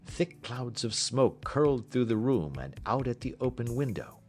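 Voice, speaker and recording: A man narrating a classic literary story as an audiobook, in a measured, expressive storytelling manner. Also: mid-range voice, faint music behind.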